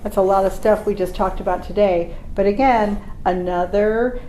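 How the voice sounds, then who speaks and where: A woman's voice: medium pitch, steady tone, moderate pace, dark tone, slight background noise, low-quality recording. A woman hosting a casual product unboxing in an informal, conversational way with her co-presenter. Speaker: host.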